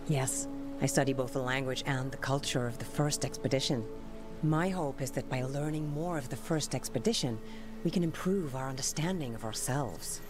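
A woman playing a solemn, guarded female scholar with horns in a video game, speaking in a formal, measured way. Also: a bass voice, over music. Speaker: linguist.

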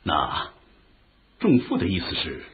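Impatient ancient chinese lord, super animated theatrical voice, muffled old radio drama sound. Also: male voice, low voice, a little slow, exaggerated voice. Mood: eager. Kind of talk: historical radio drama acting. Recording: dull, lo-fi radio drama recording.

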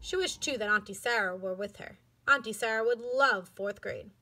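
A woman reading a children's book aloud with clear, expressive storytelling. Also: mid-range voice, moderate pace. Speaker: elementary school educator.

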